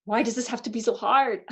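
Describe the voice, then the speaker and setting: A woman, a parent, speaking candidly and haltingly about her frustrations in a reflective self-inquiry session. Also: a little high, fairly even intonation, husky. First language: not German. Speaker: parent doing the worksheet.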